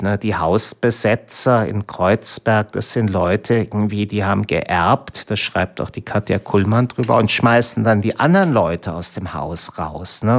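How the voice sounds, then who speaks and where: A man hosting a community radio show alone in a studio, rambling along in a halting way, restarting sentences and tagging nearly every phrase with a questioning "ne?". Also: lowish voice. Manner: rambling, halting, constant "ne?" tags, many restarts. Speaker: radio host. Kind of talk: rambling solo monologue. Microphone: studio mic.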